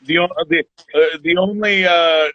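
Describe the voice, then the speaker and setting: A man in his forties, a podcast guest chatting casually, rambling and halting with many restarts. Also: on the high side, steady tone, no room sound. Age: 40s. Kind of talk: casual chat. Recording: dull lo-fi sound.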